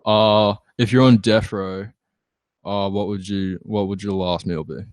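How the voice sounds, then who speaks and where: Aussie guy, lowish voice, rambly n hesitant, just chatting, very dry sound. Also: fairly even intonation, unhurried, darkish tone, no echo. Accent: Australian. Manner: hesitant, rambling, heavy use of "like". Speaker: band member guest. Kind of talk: casual chat.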